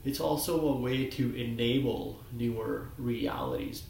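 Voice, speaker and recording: A man in his forties with a croaky voice from a cold, talking at a whiteboard in a small office. He speaks haltingly, with many fillers and restarts, and the sound comes from a desk webcam. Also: medium pitch, a little mellow, roomy sound, slight background noise. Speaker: self-taught presenter. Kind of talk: rambling solo talk at a whiteboard.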